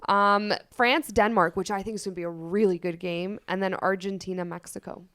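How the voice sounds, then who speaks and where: A woman's voice: mid-range voice, fairly even intonation, brisk, a bit bright. A woman whose first language is Polish, co-hosting a sports podcast and giving blunt, outspoken opinions in casual chat. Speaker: co-host.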